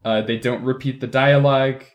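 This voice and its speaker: A man's voice: medium pitch, steady tone, moderate pace, highs cut off. A man chatting informally and bantering with friends as a podcast host, in a casual review discussion. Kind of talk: casual group review chat.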